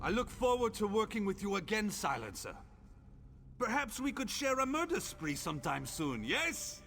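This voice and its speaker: High, gravelly gleeful killer. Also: male voice, big pitch swings, moderate pace, pushed and bright, soft background music, heavily acted. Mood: eager glee. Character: gleefully murderous, eager male assassin. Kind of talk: fantasy video game voice acting.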